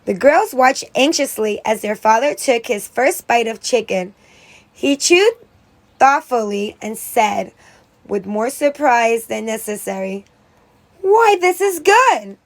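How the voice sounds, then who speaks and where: A woman with a high-pitched voice reads a children's story aloud as a teacher would. Her narration is expressive, putting dramatic emphasis on key words and character moments. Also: unhurried.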